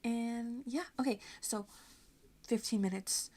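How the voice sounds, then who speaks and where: A woman's voice: on the high side, slow, a little mellow. A woman streaming a video game with casual, rambling, self-deprecating and giggly chat. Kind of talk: casual livestream chat over gameplay.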